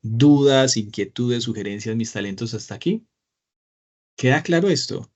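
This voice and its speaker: A man's voice: medium pitch, expressive, normal speed, a little mellow. A man, speaking in a mid-range voice as he leads an online class from a small room. He talks patiently and encouragingly and keeps checking in with his students, and the sound is a little dull and lo-fi. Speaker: Excel teacher. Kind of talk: live online class with screen share.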